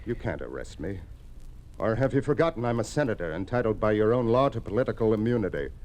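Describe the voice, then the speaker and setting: An actor playing a defiant, hot-tempered senator in a 1950s radio drama, earnest and challenging. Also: male voice, fairly low pitch, animated, quick pace, a little mellow, close to an everyday voice. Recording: old radio recording with hiss.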